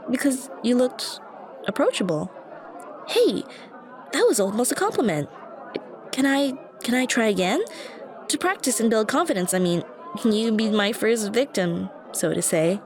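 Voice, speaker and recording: A woman's voice: on the high side, big pitch swings, measured pace, pauses often. A woman playing a shy, anxious young stranger who rambles hesitantly and self-consciously to the listener in an ASMR roleplay. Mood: shy, grateful. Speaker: roleplay voice actress. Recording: busy cafe chatter behind voice. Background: coffee shop crowd murmur.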